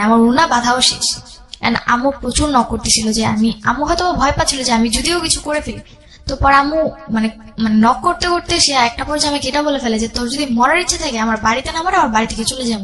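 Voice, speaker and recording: A young woman telling a personal life story as a guest, haltingly, repeating herself and mixing in English words. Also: high voice, a bit bright, low-quality recording.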